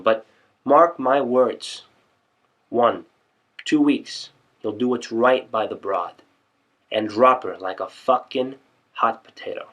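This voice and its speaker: Man acting blunt streetwise guy giving opinionated advice, stagey, sharp punchy voice. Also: mid-range voice, breathy edge. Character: crude, blunt, opinionated male advice-giver. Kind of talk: theatrical audition monologue.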